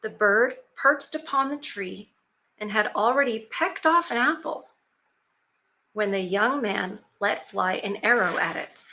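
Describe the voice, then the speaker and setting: A woman reads a fairy tale aloud for her channel, with a bright, sharp tone. She talks in a chatty way, dropping in casual asides and fillers between steady passages of narration. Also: medium pitch, unhurried, rough recording. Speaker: YouTube storyteller.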